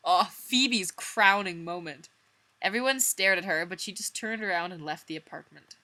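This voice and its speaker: Bright-voiced, self-mocking young woman storyteller. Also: lowish voice, normal speed. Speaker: vlogger reading own old fan fiction. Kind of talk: dramatic story reading with wry asides.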